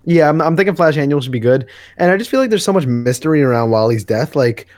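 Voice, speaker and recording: A man's voice: a little high, animated, quick pace, a little mellow, dry recording, clean studio sound. A man co-hosting a podcast, chatting casually about comics in a rambling, hesitant way and often correcting himself. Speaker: podcast co-host. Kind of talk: casual comics discussion chat.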